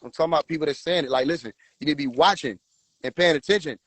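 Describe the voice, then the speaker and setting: High-voiced preachy man lecturing his viewers. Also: racing, no echo. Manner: forceful, preachy, addresses viewers directly. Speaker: livestream host. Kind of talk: casual livestream talk.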